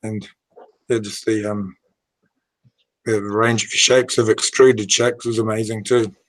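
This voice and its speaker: A hoarse man with a cold, talking softly in casual video call chat. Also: fairly low pitch, little pitch movement, takes it slowly, rough recording. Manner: soft, subdued. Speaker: hobbyist with young sons.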